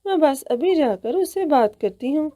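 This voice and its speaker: A woman's voice: high voice, animated, moderate pace, dark tone, dry recording, clean studio sound, voice pushed up. A woman voicing a fussing, dramatic elderly aunt bird who nags and dotes in a cartoon. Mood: nagging. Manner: wailing, dramatic, nagging.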